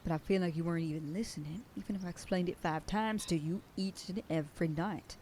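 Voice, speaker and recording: A woman playing a protective werewolf sheriff girlfriend in an ASMR roleplay, scolding the listener and dropping into soft whispers. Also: fairly low pitch, fast talker, a little hiss or hum. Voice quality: soft whisper in quieter passages. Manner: scolding, then softening into tender whispers. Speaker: voice actor in an audio roleplay.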